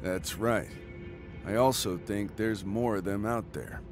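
A man playing a calm, pensive mercenary commander in a sci-fi video game, speaking in a measured, matter-of-fact way. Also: lowish voice, close to an everyday voice. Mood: calm, thoughtful. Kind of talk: video game cutscene acting. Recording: music under the voice. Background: music.

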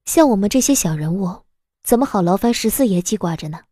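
A woman's voice: medium pitch, animated, fast, mellow, no room sound, studio quality, pitched below the performer's own voice, close to an everyday voice. A woman voicing a mature, elegant young lady in an audiobook drama, cool, curt and sarcastic. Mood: coolly sarcastic.

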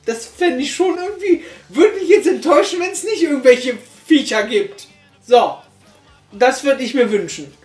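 A man's voice: very high-pitched, animated, normal speed, a little mellow. A young man reviewing an audio drama, speaking casually from a sofa in a small living room, rambling with many fillers and restarts, picked up by a distant camera. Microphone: far camera. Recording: roomy room sound, faint music.

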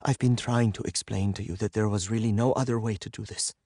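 A man voicing a tough, unflappable young woman captor in an audiobook, calm and matter-of-fact with a dry edge of threat. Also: on the low side, a little fast, no room sound.

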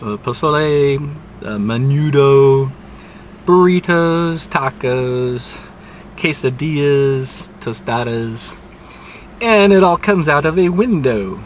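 An older man talks slowly into a dashboard camera from inside a parked car. His delivery rambles along, sprinkled with dry jokes at his own expense. A faint hum of vehicle and street noise sits behind his voice. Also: medium pitch, lively intonation. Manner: unhurried rambling delivery with dry self-mocking asides. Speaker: restaurant reviewer. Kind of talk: casual restaurant review vlog. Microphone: dashboard camera at close range.

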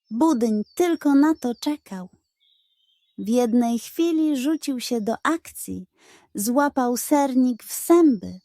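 A woman tells a children's bedtime story with expressive, very slow delivery. Her voice is fairly high and mellow, with a very dry sound. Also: dry recording. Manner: expressive, unhurried.

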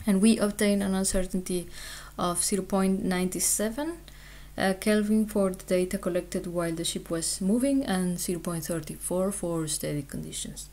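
A woman with a slightly low voice presents scientific research in an explanatory way. Her delivery is hesitant, broken by frequent pauses and ums as she works through technical material. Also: darkish tone.

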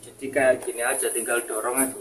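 A man's voice: mid-range voice, flat delivery, fast, a bit bright. A young man giving an informal, casual product demonstration to viewers. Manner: informal, addresses viewer as boss. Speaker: seller presenting a product review. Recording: noisy home video with clicks.

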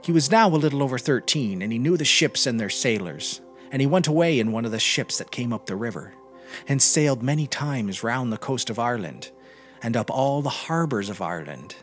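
A man's voice: medium pitch, animated, brisk, faint music behind. A man reading a literary short story aloud as a scripted narration. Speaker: podcast reader.

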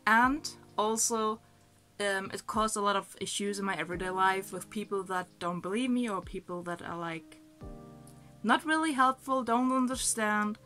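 A young woman talks casually into a nearby camera in a small bedroom, hesitant and full of fillers. She sounds slightly sick, her voice sits at a middling pitch, and faint music plays underneath. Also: normal speed, bright, forceful tone. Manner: chatty, hesitant, many fillers. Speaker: vlogger. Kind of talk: casual talk to camera and food voice-over.

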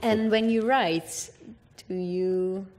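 A woman's voice: mid-range voice, fairly even intonation, slow, darkish tone. A woman in her thirties interviewing guests on a theatre stage with direct, friendly questions.

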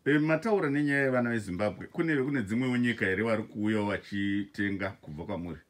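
A man interviews a shop owner from behind the camera. His voice sits fairly low, and he asks long, measured questions at an even pace.

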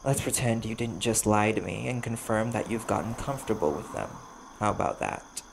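A cool, sardonic male vampire bounty hunter, acted by a man for an audio roleplay, with a low voice steeped in heavy vocal fry. He bargains carefully in dry, measured phrases with pointed pauses. Crickets and a clear layer of night noise sit behind him. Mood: dry, bargaining. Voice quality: gravelly. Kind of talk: audio roleplay, slow dramatic monologue to the listener.

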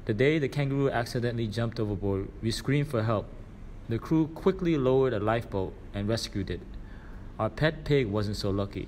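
A man's voice: on the low side, darkish tone, slight background noise. A man reading a children's storybook aloud as scripted narration. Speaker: reader.

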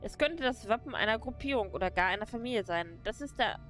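A woman reading a solemn game character's quest dialogue aloud in a measured tone. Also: a little high, expressive, fairly bright tone, faint music behind. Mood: earnest.

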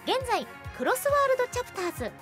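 High-pitched woman hosting, very animated. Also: fast. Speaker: radio show host. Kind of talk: bright scripted announcements. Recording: music behind the voice.

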